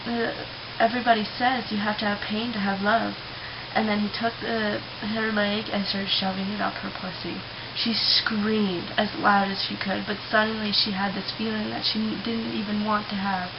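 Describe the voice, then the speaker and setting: A young woman chatting and telling stories casually as a vlogger, with an informal, halting, giggly manner. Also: medium pitch, little pitch movement. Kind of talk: casual chat and rambling storytelling. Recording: muffled webcam-style audio with loud hiss.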